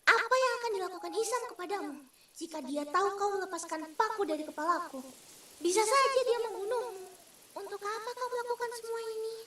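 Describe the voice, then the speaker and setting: A woman's voice: very high voice, brisk, sharp tone. A woman playing a fierce, blunt, protective female spirit in a comic dub, recorded distantly with some hiss. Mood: indignant, startled. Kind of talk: Indonesian comic dub acting. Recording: hissy, roomy, distant microphone.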